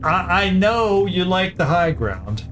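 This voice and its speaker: A man's voice: sits high, very animated, slow pace, fairly bright tone, a little hiss or hum. A man commentating a strategy game, thinking aloud with hesitant restarts as he plans each move. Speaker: gameplay commentator. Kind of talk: casual let's-play commentary. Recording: game music playing behind.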